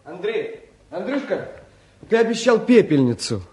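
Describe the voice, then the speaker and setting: A man's voice: sits high, big pitch swings, a little slow, darkish tone. An actor playing a self-important young man of privilege in a radio play, pompous while affecting modesty. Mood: smooth, self-important. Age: young adult. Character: suave, self-important young son of a famous academician. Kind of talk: 1950s radio play acting.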